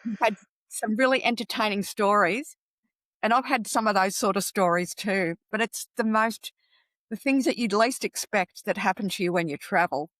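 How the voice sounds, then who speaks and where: A woman's voice: medium pitch, moderate pace, a bit bright, no room sound, low-quality recording. A woman with an Australian accent, chatting as a podcast guest and giving practical advice in a conversational way. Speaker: podcast guest, travel coach.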